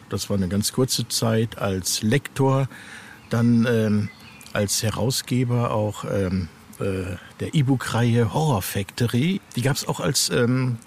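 Male interview guest, middle-aged or older author, mid-range voice, halting conversational delivery, clear background noise. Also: medium pitch, a little mellow.

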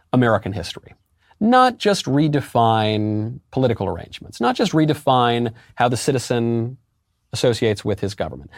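A man with a deep voice, a guest in a political interview, arguing emphatically with rhetorical questions and sudden jumps in pitch on key words. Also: a little mellow, dry recording, studio quality. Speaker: interview guest, conservative commentator.

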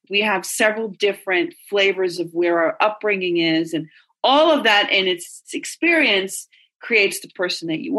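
A woman's voice: medium pitch, fairly bright tone. A woman hosting a podcast, reading an upbeat scripted welcome and then introducing her guest with enthusiasm. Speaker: podcast host.

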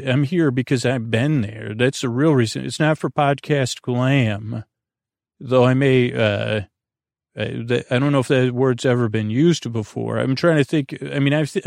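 A man hosting a sleep podcast in a meandering, halting monologue, often stammering and trailing off, with a creaky, droning voice recorded in a small closet. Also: medium pitch, darkish tone, no room sound, clean studio sound. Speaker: podcast host. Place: small closet under the stairs.

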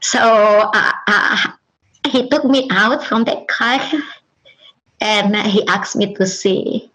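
Woman, Indonesian accent, unhurried delivery, mid-range voice, halting non-native English, frequent fillers and restarts. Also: mid-range voice, a bit bright, low-quality recording. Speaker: interview guest. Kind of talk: personal testimony.

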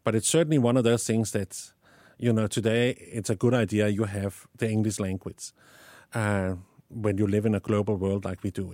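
A man's voice: fairly low pitch, a little mellow, no room sound, studio quality. A man from Denmark with a Danish accent, answering interview questions about teaching, often repeating words as he thinks. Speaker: guest, STEM educator.

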